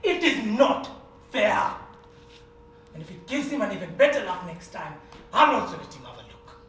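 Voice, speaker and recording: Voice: medium pitch, sing-song. Actor playing a dignified, wounded older servant. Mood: bitter. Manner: measured and firm, turns bitter. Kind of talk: stage play acting. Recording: roomy stage recording from a distance, faint hiss.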